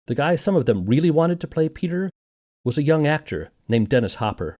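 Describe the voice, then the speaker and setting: A man's voice: a little high, sing-song, brisk, darkish tone. A man narrating a scripted documentary podcast as its host and interviewer.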